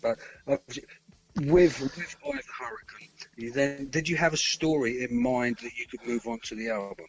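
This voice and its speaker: A man co-hosts a relaxed music interview, putting questions to the guest. His voice is croaky and gravelly with a husky edge, and its pitch moves about in a lively way. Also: normal speed, poor audio. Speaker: radio co-host interviewer.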